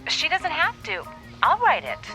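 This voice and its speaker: An actress playing a brisk, teasing, supportive woman friend in a radio drama, familiar and direct. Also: very high-pitched, wildly swooping pitch, bright, forceful tone, soft background music, heavily acted, poor audio. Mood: supportive urging.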